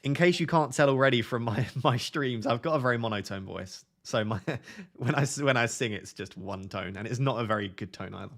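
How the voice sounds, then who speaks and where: A young man hosting a live stream, chatting casually with his viewers in a flat, monotone, self-deprecating way. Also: medium pitch, fairly quick. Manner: self-deprecating, chatty, reads out chat messages. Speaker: livestream host.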